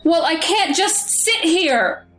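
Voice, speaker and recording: A high-voiced, fiercely protective mother, acted by a woman in an audio drama. She sounds defensive and distressed, with music playing behind her. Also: expressive, slow pace, a bit bright, exaggerated voice. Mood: anxious. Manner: defensive, anxious.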